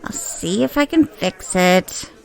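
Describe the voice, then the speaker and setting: An actress playing a lively, teasing detective's sidekick in an audio drama, chatty and animated. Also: female voice, medium pitch, takes it slowly, gravelly, a faint crowd, poor audio. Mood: exasperated.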